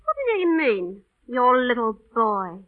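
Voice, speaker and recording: A woman's voice: fairly high pitch, huge pitch swings, takes it slowly, full character voice. An actress playing a feisty, defiant young London street girl, blunt and emotional, in old radio drama style. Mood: wary, defiant. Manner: blunt, emotional, sharp-tongued. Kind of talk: 1930s-40s radio drama acting. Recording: muffled old radio broadcast recording.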